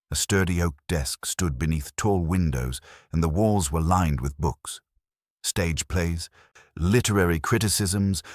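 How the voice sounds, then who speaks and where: A man narrating a scripted detective mystery in a measured, dramatic storytelling manner. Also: rumbling low, no echo, studio quality.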